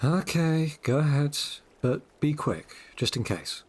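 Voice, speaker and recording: An actor playing a calm, assured, persuasive guide in a sci-fi audio drama, speaking in a low-key, coaxing way. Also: male voice, unhurried, a little hiss or hum. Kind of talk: full-cast audio drama acting.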